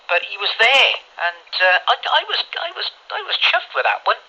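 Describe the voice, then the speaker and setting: A man's voice: very high-pitched, very animated, normal speed, sharp tone. A man chatting casually over the radio in a rambling, chummy way, with mild swearing. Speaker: amateur CB radio operator. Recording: dull lo-fi radio audio, phone recording.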